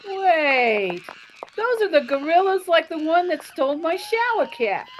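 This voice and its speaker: A woman acts out a nagging, naive female tourist for a forties radio comedy. Her chatty voice is high, over faint noise. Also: sing-song, measured pace, full character voice, poor audio. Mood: curious, naive. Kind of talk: 1940s radio comedy acting.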